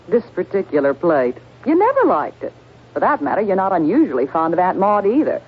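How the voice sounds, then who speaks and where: An actress playing an exasperated, sharp-witted wife, wry and pointed, in a 1970s radio drama. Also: female voice, fairly low pitch, big pitch swings, normal speed, slightly rough. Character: exasperated, sharp-witted wife needling her nervous husband. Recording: dull, faintly noisy radio broadcast.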